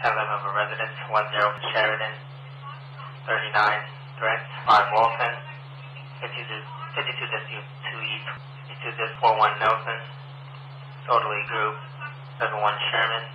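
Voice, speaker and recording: Male police dispatcher, forceful monotone, procedural calls over radio with slight noise. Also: lowish voice, normal speed, pauses often, dull audio. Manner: clipped, procedural. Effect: two-way radio. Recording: echoey, noisy police radio transmission.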